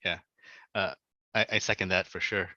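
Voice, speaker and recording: A man's voice: sits low, steady tone, normal speed, sharp tone, dry recording. A man being interviewed as an author on a video call, talking casually, stammering and repeating words as he goes. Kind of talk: casual conversation. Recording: dull lo-fi audio.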